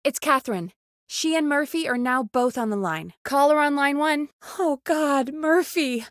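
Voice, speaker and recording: A woman producing a radio show in a video game. Her voice sits high and is recorded close and dry. She is earnest and supportive, with a wry, easily exasperated edge to her natural, expressive delivery. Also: unhurried, no echo. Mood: distressed, urgent. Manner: conversational, expressive, dryly exasperated.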